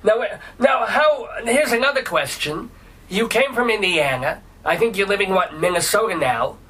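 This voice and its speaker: Bright, high-voiced wisecracking radio host. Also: male voice, lively intonation, normal speed, husky, low-quality recording. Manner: incredulous, blunt, wisecracking. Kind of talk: talk radio interview.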